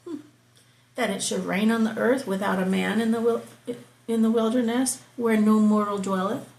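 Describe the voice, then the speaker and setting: A woman reading scripture aloud slowly and explaining it, stopping for casual asides and soft whispered remarks. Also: medium pitch, fairly even intonation, gravelly, reverberant, rough recording. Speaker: Bible reading host. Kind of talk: slow scripture reading with commentary.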